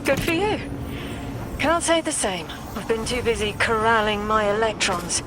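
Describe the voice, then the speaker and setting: An actress playing a wry, wistful woman with a halting, understated delivery in a video game cutscene. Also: fairly high pitch, background noise, lightly acted. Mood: wry, awkward. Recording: loud music behind the voice.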